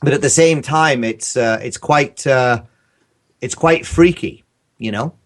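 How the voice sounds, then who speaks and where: A man's voice: medium pitch, measured pace. Man, mid-range voice, casual podcast guest, rambling storyteller, hesitant delivery. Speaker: podcast guest, audio drama creator.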